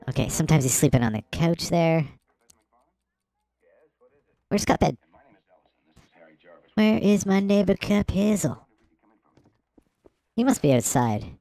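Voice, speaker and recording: A woman hosting a let's play, giving excitable, playful game commentary with gasps and shrieks at surprises. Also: fairly low pitch, slow pace, long pauses, no room sound.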